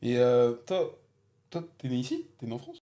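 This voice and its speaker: A man's voice: mid-range voice, huge pitch swings, normal speed, dark tone. A young rapper in a casual chat with friends, teasing his friend with pointed questions. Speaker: rapper guest.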